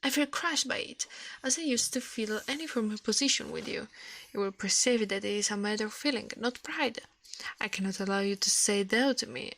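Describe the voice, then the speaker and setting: Soft ASMR woman reading a tale, higher-pitched. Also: normal speed, a little hiss or hum. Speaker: ASMR streamer reading a fairy tale aloud.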